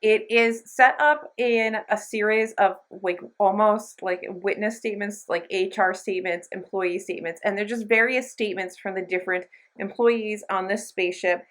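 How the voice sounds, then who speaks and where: Female book reviewer, medium pitch. Informal talk to camera, enthusiastic and emphatic, loose slangy phrasing. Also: steady tone, normal speed, few pauses, fairly bright tone. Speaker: book reviewer, channel host.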